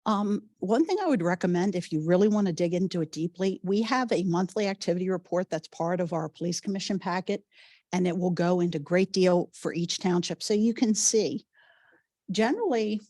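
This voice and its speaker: A woman police chief, coming down with a cold, giving a measured, explanatory report at a township meeting. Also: on the low side, a little mellow, no echo, clean studio sound.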